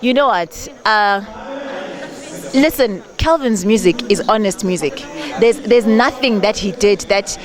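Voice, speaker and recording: A woman's voice: medium pitch, a little slow. Earnest Zimbabwean woman, crowd behind. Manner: earnest, animated, laughs often. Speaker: gospel artist interviewee. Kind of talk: on-the-street interview.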